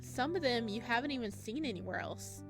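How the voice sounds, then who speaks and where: A woman with a fairly high voice plays through a story game, reading the narration aloud with expression. Her asides are gushing and excitable. Plucked guitar music plays clearly behind her. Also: a little fast. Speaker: host of a game playthrough. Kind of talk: let's play commentary with read-aloud narration.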